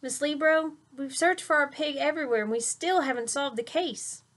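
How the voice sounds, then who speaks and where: Storybook boy detective, female reader: bold, eager, dramatic, pitched up. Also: normal speed, a bit bright. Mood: discouraged. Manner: dramatic, emphatic. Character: Tyrone, bold eager schoolboy detective, leader of his posse. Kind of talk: children's picture-book read-aloud.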